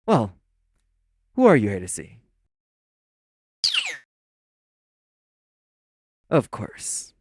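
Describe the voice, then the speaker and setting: An actor playing a primly formal, sarcastic, exasperated waiter in an audio roleplay. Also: male voice, lowish voice, wildly swooping pitch, measured pace, noisy background, heavily acted. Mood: strained politeness.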